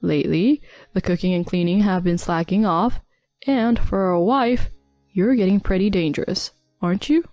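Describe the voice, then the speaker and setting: A woman's voice: mid-range voice, normal speed, darkish tone, dry recording, faint music behind, natural voice. A woman voicing a dismissive young husband who talks down to his pregnant wife. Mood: scornful. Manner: curt, condescending.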